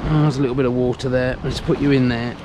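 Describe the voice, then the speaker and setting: A man's voice: medium pitch, flat delivery, fast. A man chatting casually to himself and the camera while fishing, in a muttering, self-deprecating way. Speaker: angler vlogger. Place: outdoors on sea cliff rocks. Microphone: action camera worn on the body. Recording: loud wind and surf noise outdoors. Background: sea waves and wind.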